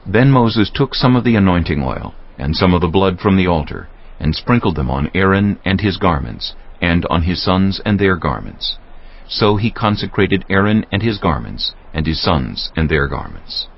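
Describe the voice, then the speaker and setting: A man narrating scripture from a script in a measured, formal manner. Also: low voice, expressive, muffled recording.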